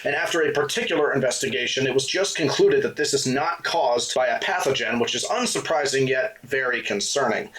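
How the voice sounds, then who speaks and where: Man playing businesslike news anchor, mid-pitched, formal and even. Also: natural voice, rough recording. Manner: formal, even newsreader delivery. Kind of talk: scripted news report.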